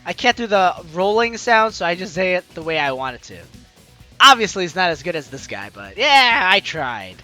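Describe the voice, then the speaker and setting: A woman hosting a let's play, chatty and excitable, reading game narration aloud with drama and commenting as she goes. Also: on the low side, moderate pace. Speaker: gaming video host. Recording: game music behind the voice. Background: game music.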